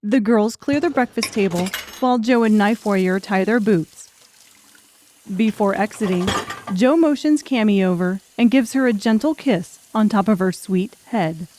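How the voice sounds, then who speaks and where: A woman's voice: medium pitch, normal speed, dark tone, a little hiss or hum. A woman reading scripted third-person narration for an audiobook story.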